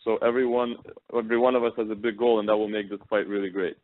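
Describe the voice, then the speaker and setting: A man interpreting on a press conference call, pausing hesitantly as he translates. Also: lowish voice, steady tone. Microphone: telephone conference call. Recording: tinny phone line, faint noise, clicks.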